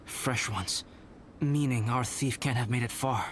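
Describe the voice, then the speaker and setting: A man voicing a calm, composed young male alchemist and painter who explains things in a measured way, in a video game cutscene. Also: mid-range voice, slight background noise, lightly acted. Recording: game cutscene with background music.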